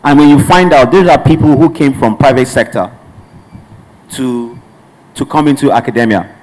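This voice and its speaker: A man's voice: medium pitch, moderate pace, a little mellow, low-quality recording. A man from Nigeria speaking as a panelist at a tech conference, talking earnestly and at length with frequent restarts. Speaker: panelist, hub representative.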